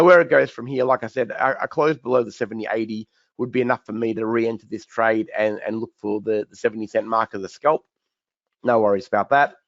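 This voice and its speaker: Fast-talking croaky man. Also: mid-range voice, no room sound, highs cut off. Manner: chatty, repeats words and restarts, many fillers. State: croaky, recovering voice. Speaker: trading educator hosting a webinar Q&A. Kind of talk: live webinar chart analysis.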